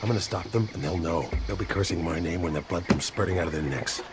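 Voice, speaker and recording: Loud music plays behind a deep-voiced man. An actor plays a ruthless, world-weary mercenary zealot from a video game, menacing and fatalistic. He speaks in a grim, deliberate way. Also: lightly acted. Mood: vengeful, menacing. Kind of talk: video game cutscene acting.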